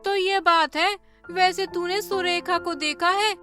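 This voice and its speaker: A woman's voice: extremely high pitch, fairly even intonation, unhurried, over music, pitched above the performer's own voice. A woman voicing a nosy, goading village auntie who gossips in a cartoon story.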